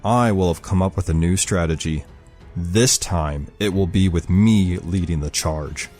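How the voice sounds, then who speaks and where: A man's voice: sits low, a little slow, a little mellow, faint music behind. A man voicing a grim, commanding imprisoned war strategist in an audio fantasy story. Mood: grim resolve.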